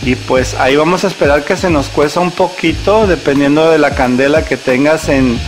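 A man's voice: a little high, moderate pace, soft background music. A man hosting a cooking show, chatting casually and rambling, often correcting himself as he talks to the viewer like a friend.

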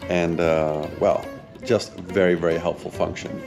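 A man's voice: low-pitched, flat delivery, a little slow, vocal fry. A man in his thirties, a sailing vlogger and charter captain, giving an enthusiastic, casual product review from a sailboat, with frequent fillers. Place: on a sailboat, outdoors. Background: music.

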